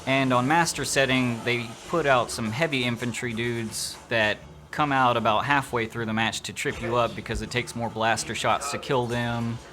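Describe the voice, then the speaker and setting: A man's voice: mid-range voice, steady tone, normal speed, hardly any pauses, pushed and bright. A man narrating an opinionated video game review in an informal, slangy, sarcastic way.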